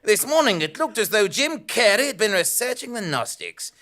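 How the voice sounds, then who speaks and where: An actor playing a pompous, self-regarding investigative journalist narrating a scripted comic audio drama. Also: male voice, high voice, sing-song, moderate pace, sharp tone, heavily acted. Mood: puzzled, fussy. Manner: pompous, theatrical.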